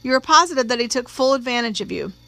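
A woman's voice: fairly high pitch, animated, fast, gravelly, a bit bright, no echo. A woman voicing a patient, formal male lawyer questioning a witness in an audiobook. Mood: pressing. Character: courtroom lawyer, a man, patient, formal, probing. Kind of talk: acted audiobook dialogue.

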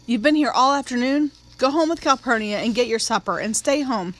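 A woman voicing a tired, measured middle-aged lawyer and father. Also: fairly high pitch, fairly bright tone, close to an everyday voice. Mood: curt. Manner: measured, clipped.

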